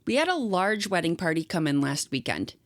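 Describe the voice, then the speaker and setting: A woman co-hosting a podcast, chatting casually and reading online stories aloud in a steady storytelling way. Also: fairly low pitch, fairly quick, no echo. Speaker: podcast co-host.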